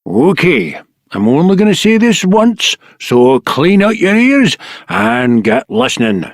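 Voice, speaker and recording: Blunt British mountain workman, animated. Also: a little high, slow, no room sound, clean studio sound. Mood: casual, wheedling. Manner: blunt, conversational. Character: gruff, short-tempered mountain hut-dwelling workman. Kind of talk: video game character acting.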